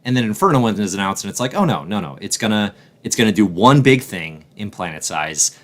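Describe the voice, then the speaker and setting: Man, live show host, lowish voice, casual commentary, enthusiastic, emphatic. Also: big pitch swings, fairly quick.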